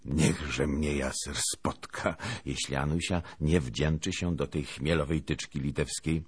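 A man voicing a mocking, jovial knight who banters briskly in a historical storybook. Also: a bass voice, fairly even intonation, breathy edge, full character voice. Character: cheeky, mocking little knight, a male court joker.

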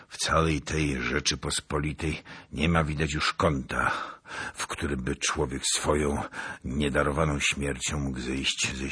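A man's voice: a bass voice, a bit bright, breathy edge, heavily acted. A man voicing a blustering older nobleman in a historical storybook, exclaiming and grumbling in colourful language.

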